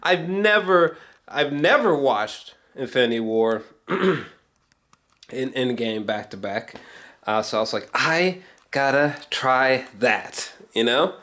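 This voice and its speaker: Man giving a rambling, excitable movie reaction. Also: medium pitch. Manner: excitable, emphatic, repeats phrases; rambling, halting, frequent swearing. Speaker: film review vlogger. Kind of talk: unscripted, casual movie reaction talk.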